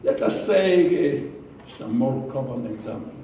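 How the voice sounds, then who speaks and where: Slow, deliberate man in an echoey room. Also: mid-range voice, animated, mellow, far from the mic, a little hiss or hum. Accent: Israeli. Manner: slow, deliberate, with pauses and repeated words. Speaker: club speaker. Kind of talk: prepared speech. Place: meeting room.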